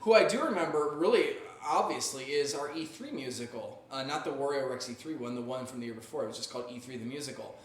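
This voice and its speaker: A man co-hosting a casual live chat, chatty and quick to laugh and tease. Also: mid-range voice, expressive. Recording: echoey room, faint noise.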